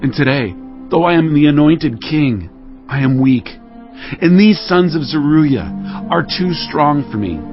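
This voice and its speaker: A man's voice: on the high side, animated, a little slow. Man acting a solemn, wrathful warrior king. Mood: grave lament. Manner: declamatory, grave, commanding. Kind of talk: dramatized scripture audio drama. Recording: dull audio with music behind.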